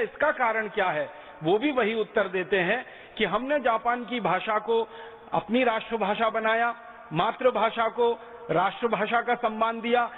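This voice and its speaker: A man giving a lecture speech, driving his points home with emphatic, repeated rhetorical lists. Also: very high voice. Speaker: public speaker. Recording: echoey hall on a tinny phone-like line.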